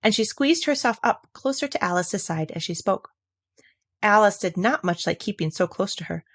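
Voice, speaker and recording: A woman reads scripted storybook narration in an audiobook style. Her pitch sits in the middle, and her voice has a noticeable creak. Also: expressive, moderate pace. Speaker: audiobook reader.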